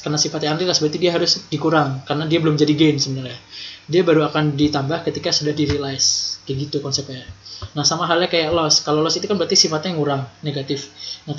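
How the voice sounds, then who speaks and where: A man's voice: a little high, fairly bright tone, a little hiss or hum. Young man, informal tutor, repeats key points, roomy echo, dull lo-fi sound. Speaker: accounting tutor.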